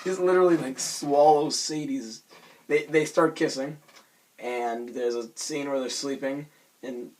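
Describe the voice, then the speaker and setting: Young man, hoarse and raspy, casual vlogger, self-conscious and rambling, mid pitch. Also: steady tone, a little slow, low-quality recording. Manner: self-conscious, rambling with many fillers and restarts. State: hoarse from screaming. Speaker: vlogger reviewing a cartoon episode.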